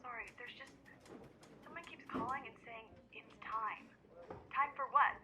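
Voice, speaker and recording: A woman's voice: fairly high pitch, unhurried, bright, forceful tone, a little hiss or hum. Flustered, frightened woman on the phone. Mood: shaken. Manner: agitated, defensive, then apologetic. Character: flustered, frightened woman caller reporting harassment. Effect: telephone filter. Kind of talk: radio play acting. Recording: muffled telephone-filtered radio play.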